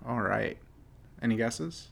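A man's voice: medium pitch, lively intonation, takes it slowly, creaky, slightly rough. A man co-hosting a casual podcast, teasing his partner and stumbling over restarts. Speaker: podcast co-host. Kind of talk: casual chat.

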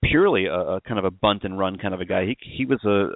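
A man's voice: sits low, fast talker, dry recording. A man hosting a sports podcast, chatting casually as an interviewer. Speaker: podcast host. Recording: narrow phone-like line, dry.

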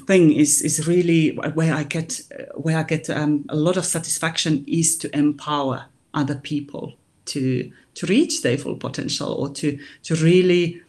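A woman, a life coach answering interview questions, thinking aloud with pauses. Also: low-pitched, darkish tone. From Finland.

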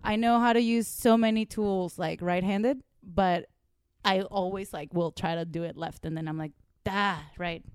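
Clear-voiced woman from Venezuela, chatty storyteller. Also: medium pitch, moderate pace, dry recording, clean studio sound. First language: Spanish. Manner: animated, profane, giggly, self-mocking. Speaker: podcast guest, comedian. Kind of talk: casual conversational storytelling.

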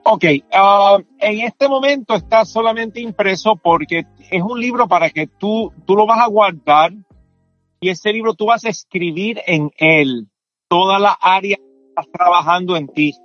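Puerto rican guy explaining stuff, lots of restarts, kinda high voice, muffled lo-fi sound w faint music. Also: measured pace, a bit bright, no echo. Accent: Puerto Rican Spanish. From Puerto Rico. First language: English. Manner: explains at length with many restarts and tags like "¿me entiendes?". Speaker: author and performance coach. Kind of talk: casual interview talk.

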